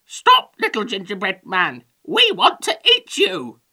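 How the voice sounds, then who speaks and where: A woman voicing excited, hungry storybook chickens, clucking and exclaiming. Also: mid-range voice, measured pace, pitched above the performer's own voice, full character voice.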